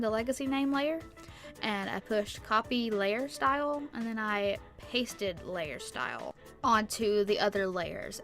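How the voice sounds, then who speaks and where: A young woman with a stuffy nose and sore throat, rambling chattily through a casual tutorial voice-over on a boom-arm mic. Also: a bit bright. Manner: rambling, chatty, lots of fillers. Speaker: YouTuber. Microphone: microphone on a boom arm.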